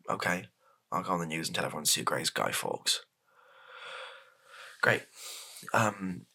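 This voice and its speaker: A British man improvising a softly spoken comedy roleplay as a hesitant, deadpan, rambling political advisor to the prime minister. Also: low voice, creaky, slightly rough, full character voice.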